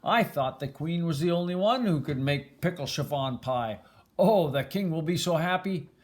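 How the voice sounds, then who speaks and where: An older man voicing a kind, earnest young prince in a children's storybook, speaking gently. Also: fairly high pitch, expressive, moderate pace. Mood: delighted.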